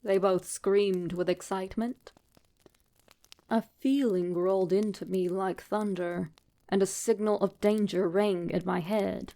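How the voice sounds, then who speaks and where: A woman reading a scripted horror story as a narrator, in a measured, dramatic manner. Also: medium pitch, steady tone, warm tone.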